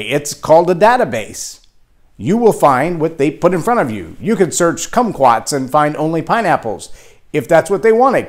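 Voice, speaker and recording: A man from Texas with a Texan accent, giving an animated leadership talk that acts out quoted voices. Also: mid-range voice. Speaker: leadership speaker. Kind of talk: motivational talk to camera.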